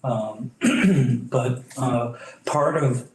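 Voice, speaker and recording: A developer presenting to a public board meeting, halting with many fillers and restarts, clearing his throat as he loses his voice. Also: mid-range voice, expressive, darkish tone. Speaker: property developer. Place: meeting room. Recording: echoey room, faint noise.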